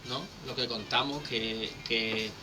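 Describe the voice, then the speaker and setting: A man, a public speaking coach explaining things in a lively, teacherly way as a guest on a radio interview. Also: on the low side, slow pace, noisy background.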